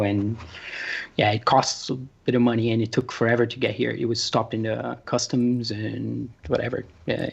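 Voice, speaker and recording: A man chatting as a guest on a panel, sounding tired and halting, with many fillers. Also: medium pitch, creaky, slightly rough, dull audio. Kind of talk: casual chat.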